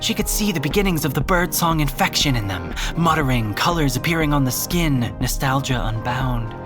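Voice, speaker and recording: A man narrating a scripted audio drama in a dramatic, deliberate storytelling manner. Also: mid-range voice, expressive, music in the background.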